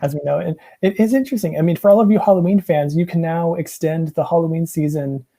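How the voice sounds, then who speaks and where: Young american guy on a video call w earbuds, mellow warm voice, lots of ums and restarts. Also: fairly high pitch, quick pace, gravelly. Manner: rambling, many ums and restarts. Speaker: presenter. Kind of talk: casual video call chat. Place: small room at home.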